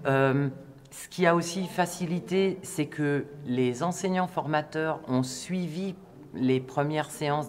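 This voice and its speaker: A man giving a reflective interview, speaking hesitantly and deliberately as a teacher-trainer. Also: a little high.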